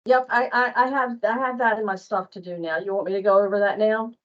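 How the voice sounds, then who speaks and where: A woman leads staff through a procedure on a dull, lo-fi online call. Her voice sits at a middle pitch. She explains things plainly and conversationally, in a halting, informal way. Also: fairly even intonation, a bit bright. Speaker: staff trainer on invoicing. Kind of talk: informal training walkthrough. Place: online meeting with screen share. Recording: muffled lo-fi online meeting audio.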